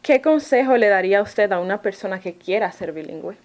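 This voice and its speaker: A woman's voice: mid-range voice, animated, brisk. Woman hosting a bilingual podcast interview. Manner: brief acknowledgements, polite formal questions. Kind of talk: interview questions.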